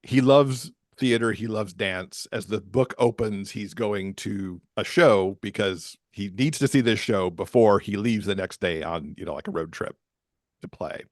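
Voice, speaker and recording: A man's voice: on the low side, a little slow, no room sound, clean studio sound. An American man from Michigan speaking casually as an interview guest, explaining things in a chatty way. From Michigan, USA. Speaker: podcast guest, romance author.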